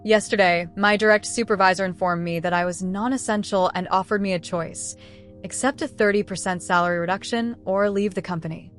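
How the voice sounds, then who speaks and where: A woman voicing a composed, determined businesswoman in a story, speaking in a formal, measured, assertive manner. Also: mid-range voice, fairly even intonation, faint music behind, close to an everyday voice. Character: composed, determined young businesswoman confronting her bosses.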